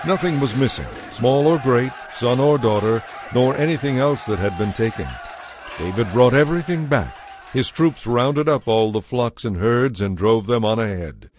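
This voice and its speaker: A man narrating a dramatized Bible as scripted storytelling, in a solemn, measured manner. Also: medium pitch, expressive, a little mellow, slight background noise.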